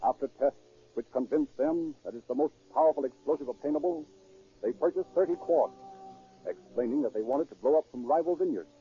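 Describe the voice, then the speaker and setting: A man's voice: a little high, brisk, mellow. A man narrating a true crime radio drama in a dramatic 1930s broadcast style. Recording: dull, muffled 1930s radio recording.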